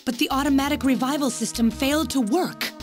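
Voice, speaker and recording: An earnest young scientist heroine voiced by a woman with a high-pitched voice. It is dramatic game cutscene acting, with faint music behind. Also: a little fast, close to an everyday voice. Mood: questioning. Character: earnest young female scientist, dismayed and questioning.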